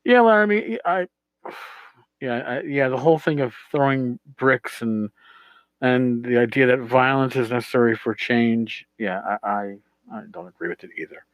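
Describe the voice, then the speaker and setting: A man hosting a podcast, talking casually as he answers listener voicemails in a halting, word-searching way with repeats. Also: medium pitch, no echo, highs cut off. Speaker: podcast host.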